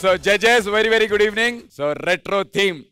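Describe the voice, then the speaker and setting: A man's voice: sits high, steady tone, drawn-out words. A man with a Telugu accent presenting a stage dance show in an emphatic, showman-like way, announcing like a TV host. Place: TV studio stage. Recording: loud music behind the voice.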